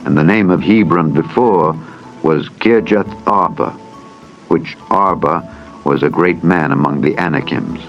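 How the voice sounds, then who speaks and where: Deep male narrator, solemn scripture reading, measured formal delivery, dull audio, background music. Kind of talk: dramatized scripted Bible narration.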